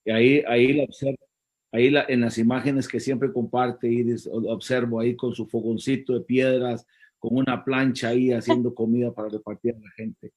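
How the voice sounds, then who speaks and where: A man's voice: medium pitch, flat delivery, normal speed, darkish tone, poor audio. A man hosting an online church forum, thanking a speaker and handing over to the next guest with hesitant fillers. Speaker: host, moderator. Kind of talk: forum moderation.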